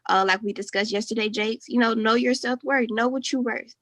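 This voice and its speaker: A woman's voice: a little high, steady tone, a little fast, fairly bright tone, dry recording. A woman in her twenties, an interview guest speaking casually and sincerely, with many fillers. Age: 20s. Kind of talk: candid conversation.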